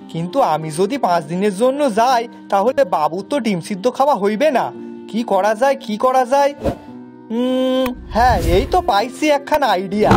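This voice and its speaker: A woman's voice: mid-range voice, natural voice. A woman playing a naive, eager young male village servant in a cartoon, with dialect and a deferential manner. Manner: deferential, rustic dialect turns of phrase. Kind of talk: cartoon voice acting.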